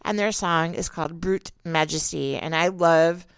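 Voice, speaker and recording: Gravelly-voiced Midwestern woman DJ. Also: on the low side, fairly even intonation, measured pace, dry recording. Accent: Midwestern American. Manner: upbeat, chatty, hyping the next track. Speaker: radio DJ and host. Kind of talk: metal radio countdown patter.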